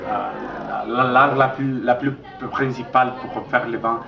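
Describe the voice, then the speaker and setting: Slow, hesitant Mexican man. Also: medium pitch, fairly even intonation, gravelly. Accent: Mexican Spanish. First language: Spanish. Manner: hesitant, many pauses and fillers. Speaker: interviewee. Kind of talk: casual interview in a learned language. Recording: dull echoey recording with crowd noise.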